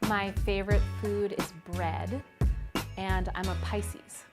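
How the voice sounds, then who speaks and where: A woman being interviewed, speaking in a thoughtful, measured way. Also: mid-range voice, little pitch movement. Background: music bed.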